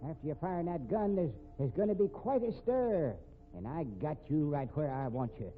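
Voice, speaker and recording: An actor playing a sly, grudging older working-class blackmailer in 1950s radio drama, folksy and ungrammatical, repeating himself. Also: male voice, a little high, very animated, moderate pace, soft background music. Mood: stubborn, gloating. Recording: tinny, noisy vintage radio recording.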